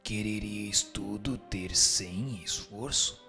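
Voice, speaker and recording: A man's voice: on the low side, slow pace, gravelly, sharp tone, faint music behind, exaggerated voice. A man playing a solemn spirit teacher, speaking in formal, grave, didactic oratory in a scripted audio drama. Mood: firm, admonishing. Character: solemn male spirit teacher answering questions.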